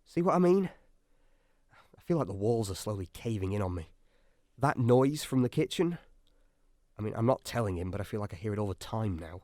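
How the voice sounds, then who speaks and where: An actor playing an anxious, self-doubting, sardonic submarine doctor in a radio drama. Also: male voice, on the low side, big pitch swings, fairly quick, pauses often, darkish tone, no echo, clean studio sound. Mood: fearful, confiding. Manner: nervy, sardonic, brooding. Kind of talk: radio drama acting with diary-style monologue.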